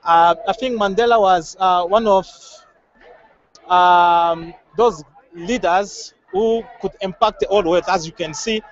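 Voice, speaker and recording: A man with a Congolese accent and a high voice speaks in a street interview. He is earnest and reflective, often pausing to find the next phrase. The sound is slightly dull, recorded outdoors on a handheld microphone. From Democratic Republic of the Congo. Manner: earnest, hesitant, halting phrasing. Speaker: member of the public being interviewed. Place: outdoors at a crowded street gathering. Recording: muffled, band-limited outdoor field audio.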